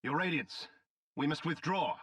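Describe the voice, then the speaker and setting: Sharp, husky, excitable soldier. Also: male voice, fairly high pitch, unhurried, no room sound, clean studio sound. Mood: urgent. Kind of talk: scripted fantasy game cutscene acting.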